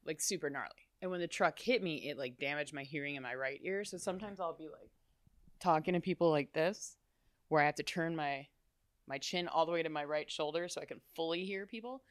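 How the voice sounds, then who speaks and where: A young adult woman talking as an interview guest, loud and chatty, laughing often. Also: sits low, fairly quick, dry recording, clean studio sound. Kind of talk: casual interview chat.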